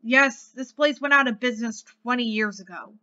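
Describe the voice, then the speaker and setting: Woman reading as apologetic angel estate agent guy in a story, high voice, explains stuff, friendly. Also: moderate pace, pushed and bright, lo-fi sound. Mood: explaining.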